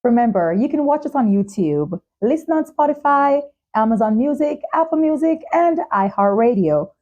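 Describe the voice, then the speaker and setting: A woman hosting a talk show, presenting in a measured, carefully enunciated way. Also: a little high, expressive, darkish tone, highs cut off. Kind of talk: scripted show introduction and sponsor reads, interviewing.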